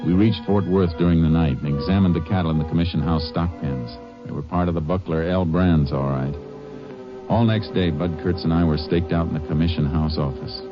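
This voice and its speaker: Texas Ranger, low voice, terse, shrewd, matter-of-fact, 1950s radio drama, muffled old recording. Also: male voice, fairly quick, over music. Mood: steady, shrewd. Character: steady, shrewd Texas Ranger investigator, adult man. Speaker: lead actor and narrator.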